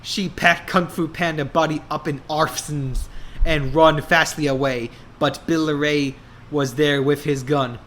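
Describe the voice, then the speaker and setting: A man reading a story aloud in a mock-dramatic style, deliberately and with a straight face. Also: a little high, steady tone, a bit bright. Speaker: dramatic reader of fan fiction.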